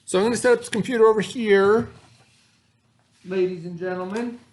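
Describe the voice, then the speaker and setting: A man teaching a class from home, voice sitting a little high for a man. He explains each word patiently with everyday examples and keeps checking that the kids follow. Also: fairly even intonation, brisk, a little mellow. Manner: checks in often with "okay" and "right". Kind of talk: recorded classroom lesson for students at home.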